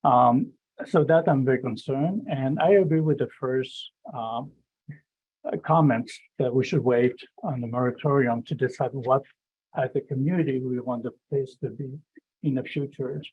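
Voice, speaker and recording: A man with a low voice speaking hesitantly as a resident at a town meeting, with many pauses and restarts. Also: husky, phone-quality sound. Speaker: resident speaking at public comment. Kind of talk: public comment at a town meeting.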